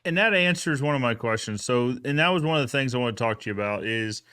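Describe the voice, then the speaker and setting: A man's voice: medium pitch, expressive, quick pace, bright, forceful tone, clear, resonant tone. A man hosting a casual, conversational recap show. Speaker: podcast host.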